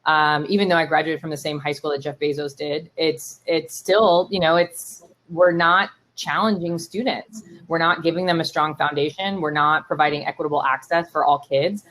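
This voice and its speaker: A woman in her thirties from Miami whose first language is Spanish, telling her story in a casual interview with lots of fillers and animated asides. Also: deep voice, moderate pace, hardly any pauses, rough recording. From Miami, Florida. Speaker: startup CEO and keynote guest. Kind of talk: conversational interview.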